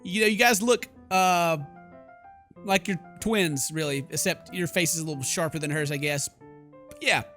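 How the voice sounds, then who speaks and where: A man's voice: fairly high pitch, moderate pace, a bit bright, soft background music. A man doing jokey, bro-ish game commentary and expressively reading story text aloud as a let's player.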